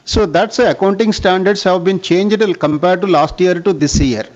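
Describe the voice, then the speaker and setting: A man's voice: sits high, moderate pace, a little mellow. A man with an Indian accent answering analysts' questions formally as a bank executive on an earnings call. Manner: formal, often addressing listener as sir. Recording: dull lo-fi conference call audio.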